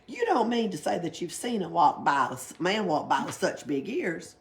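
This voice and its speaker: A woman's voice: lowish voice, big pitch swings, normal speed, gravelly, slight background noise. A woman voicing an earnest, insistent young girl, easily annoyed, in a children's storybook read aloud. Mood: cross, amazed.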